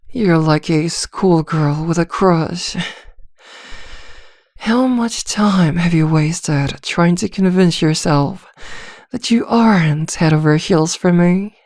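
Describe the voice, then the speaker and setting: A woman playing an arrogant, seductive vampire villainess in an intimate ASMR roleplay, soft-voiced and teasing, with a commanding, hypnotic manner and frequent drops toward a whisper. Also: fairly low pitch, no room sound, clean studio sound. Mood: amused, gloating. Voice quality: soft, often dropping into whispers.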